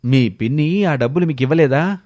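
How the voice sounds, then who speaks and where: A man's voice: a little high, brisk, a little mellow, dry recording, close to an everyday voice. A man voicing a kindly, blunt village uncle and farmer with a rustic, fatherly manner.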